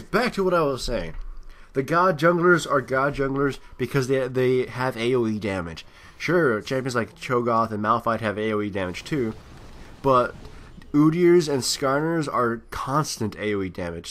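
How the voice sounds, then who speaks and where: A man's voice: medium pitch, a bit bright. Man giving rambling, casual game commentary. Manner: rambling, thinking aloud, trailing off. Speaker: gameplay commentator.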